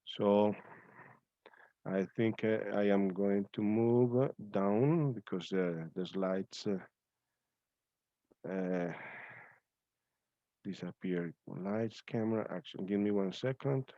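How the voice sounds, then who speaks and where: A man hosts an online event informally, speaking haltingly and repeating himself. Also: fairly low pitch, warm tone, dry recording. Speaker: host of a student reading-aloud event. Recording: dull, lo-fi online call audio.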